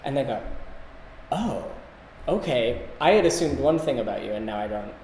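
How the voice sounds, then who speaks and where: A man co-hosting a casual podcast chat, talking in a halting way and often interrupting himself. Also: medium pitch, big pitch swings, a little mellow. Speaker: podcast co-host. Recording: roomy, long echo, background hiss.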